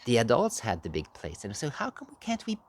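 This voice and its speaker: Man telling a tall tale, halting delivery. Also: mid-range voice, wildly swooping pitch, background noise. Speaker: amateur public speaker practicing a contest speech. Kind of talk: animated storytelling speech, tall tale.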